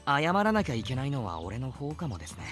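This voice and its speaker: Teenage schoolboy protagonist, rapid speech, lively intonation, courteous, easygoing, visual novel, faint music. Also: male voice, medium pitch. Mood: sheepish. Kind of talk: Japanese visual novel voice acting.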